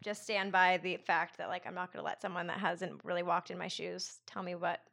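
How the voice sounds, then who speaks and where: A woman's voice: fairly low pitch, level tone, fairly quick, vocal fry, fairly bright tone, dry recording, studio quality. A woman in her twenties talking as a podcast guest, chatty and casual, filling her speech with "like". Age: 20s. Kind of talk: casual conversational interview.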